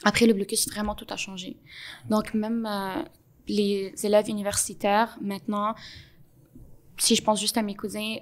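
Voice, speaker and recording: A young woman with a Quebec French accent explains things earnestly, pausing often on "euh" as she gathers her thoughts. Her pitch sits mid-range for a woman and barely rises or falls, which gives her an even, level delivery. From Montreal, Canada. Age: young adult. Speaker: podcast guest. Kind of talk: interview answers.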